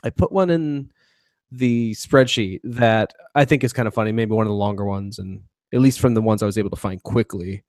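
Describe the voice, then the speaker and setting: A man's voice: on the low side, brisk, darkish tone, dry recording, clean studio sound. A man co-hosting a casual chat about a video he is watching. He laughs often and rambles in an amused way. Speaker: co-host.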